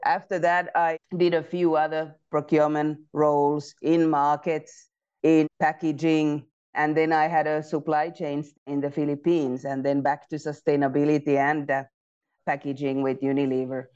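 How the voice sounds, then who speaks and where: A woman in her forties from Finland, a guest in a podcast interview, telling her story calmly and reflectively. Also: low-pitched, normal speed, smooth, lo-fi sound. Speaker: guest, procurement executive.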